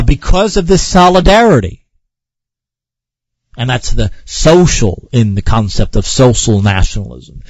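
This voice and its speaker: A man's voice: a little high, a little mellow, dry recording, lo-fi sound. A man hosting a radio talk show, lecturing earnestly with repeated phrases and frequent fillers. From Ireland.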